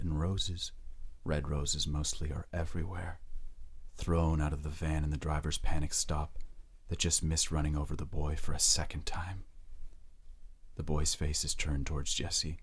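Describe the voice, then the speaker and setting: Casting: male drama narrator, extremely low raspy voice, wide dynamic pitch range, grave and measured.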